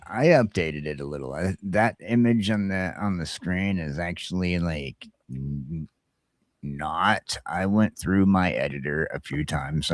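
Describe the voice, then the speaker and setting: A man's voice: low-pitched, no echo, clean studio sound. A man hosting a casual morning talk show, chatting in a joking, self-deprecating way.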